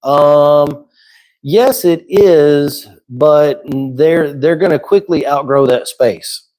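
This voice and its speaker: A man's voice: a little high, drawn-out words. A man hosting a casual livestream who is losing his voice, chatting easily and answering viewers' questions. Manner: relaxed, conversational, answers chat questions. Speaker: livestream host.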